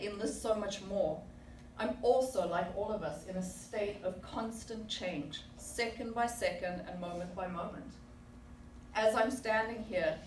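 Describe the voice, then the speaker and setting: A woman in her forties from South Africa gives a prepared, reflective speech full of rhetorical questions to a club audience. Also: mid-range voice. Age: 40s. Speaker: club speaker. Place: meeting room with audience. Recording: echoey room, distant mic, background noise.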